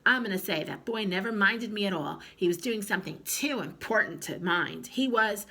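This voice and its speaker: A woman's voice: mid-range voice, normal speed, bright, forceful tone, pitched above the performer's own voice. Woman doing a cranky, bossy old grandma. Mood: scolding. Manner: bossy, stubborn, rural drawl, nagging.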